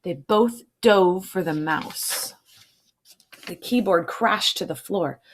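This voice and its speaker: A woman's voice: lowish voice, takes it slowly, a little hiss or hum. A woman reading a children's storybook aloud, adding informal asides and checking in with her listeners. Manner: checks in with listeners using questions.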